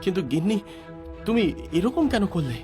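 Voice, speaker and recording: A man's voice: high-pitched, very animated, normal speed. Man playing an anxious, melodramatic village headman. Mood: shocked, reproachful. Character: terrified, pleading village headman, a married man. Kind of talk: dramatized animated village story. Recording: music behind the voice.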